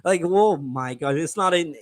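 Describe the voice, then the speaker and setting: Animated man from Bangladesh, dry sound. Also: a little high, measured pace, no room sound. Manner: animated, stumbling restarts. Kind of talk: casual live-stream chat.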